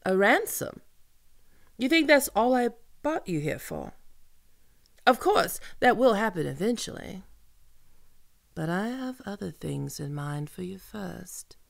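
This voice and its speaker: A woman playing a commanding, mocking and seductive female pirate captain in an audio roleplay, with theatrical, teasing, drawn-out menace. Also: mid-range voice, big pitch swings, creaky, full character voice. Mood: proud, mocking. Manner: theatrical, teasing, drawn-out menacing delivery with archaic turns of phrase. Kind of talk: ASMR roleplay acting.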